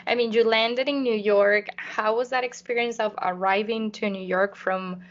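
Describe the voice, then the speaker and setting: A woman's voice: medium pitch, fairly even intonation, normal speed, dull audio. A woman hosting a podcast in an enthusiastic, effusive, conversational way. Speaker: podcast host. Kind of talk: conversational podcast presenting and interviewing.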